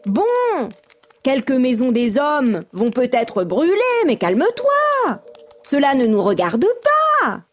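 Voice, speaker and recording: A woman's voice: on the high side, very animated, slow pace, faint music behind, pitched above the performer's own voice. A woman voicing a complacent female frog in a folk tale, dismissive and unconcerned in manner. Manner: shrugging, dismissive questioning.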